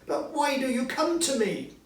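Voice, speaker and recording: A man voicing a frightened, excitable old miser in a storybook reading, with an agitated, trembling delivery. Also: moderate pace. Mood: terrified. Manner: high-pitched, trembling, agitated. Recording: roomy echo, distant mic, background noise.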